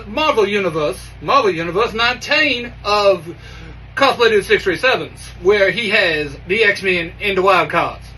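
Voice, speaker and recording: A man's voice: high-pitched, bright, forceful tone. A man voicing a boisterous, swaggering comic-book mutant who talks about himself in the third person. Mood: swaggering, upbeat. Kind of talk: in-character sign-off and plug. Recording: distant mic with background noise.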